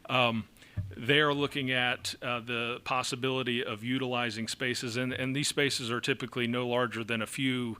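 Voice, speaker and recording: A man's voice: medium pitch, little pitch movement, normal speed, bright, forceful tone. A man presenting an ordinance at a city council meeting, explaining it with many fillers and an occasional chuckle. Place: council chamber.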